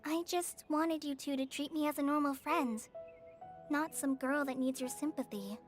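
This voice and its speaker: A woman's voice: sits high, normal speed, faint music behind, natural voice. A woman voicing an earnest, shy teenage girl, a trainee forest ranger in a video game, speaking sincerely and a little hesitantly. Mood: hesitant, apologetic.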